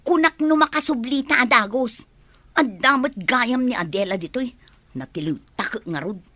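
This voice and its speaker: A woman's voice: a little high, expressive, normal speed, bright, forceful tone, no room sound. A woman playing a regretful, resigned crook in a radio drama, with an Ilocano accent. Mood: worried.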